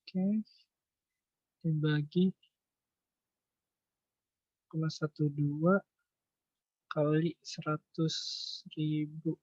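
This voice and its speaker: A man teaching an informal online lesson, thinking aloud through figures in a halting way and checking with his listeners. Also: on the high side, fairly even intonation. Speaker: accounting tutor. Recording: echoey room, dull lo-fi online-call audio.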